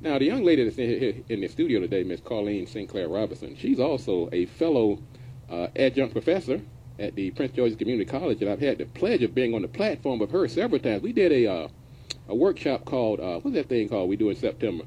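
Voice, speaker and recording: A man's voice: medium pitch, sing-song, quick pace, mellow, a little hiss or hum, poor audio. A middle-aged man hosting a business radio show, talking in a folksy, casual way and now and then dropping verbs. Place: radio studio.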